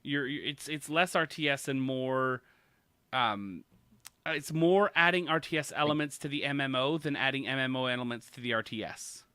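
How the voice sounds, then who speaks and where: Man, podcast host, upbeat, bright voice, fairly high, dry close mic. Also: normal speed, clean tone, no echo. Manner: upbeat, laughs often, leads the talk. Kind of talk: casual gaming news chat.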